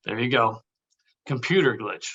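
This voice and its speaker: Bright forceful voice, guy reading as a courteous cautious actor, mid pitch, bit hesitant. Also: expressive, measured pace, slightly rough, dull audio, full character voice. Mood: polite. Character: polite, cautious male film actor. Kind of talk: table read acting.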